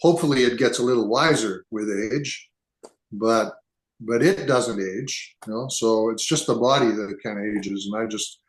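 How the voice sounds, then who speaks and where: A man's voice: lowish voice, normal speed. A man in his seventies, born in England, talking thoughtfully as a podcast guest and often restarting his sentences. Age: 70s. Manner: thoughtful, rambling with frequent restarts. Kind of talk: relaxed conversational interview. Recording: echoey room.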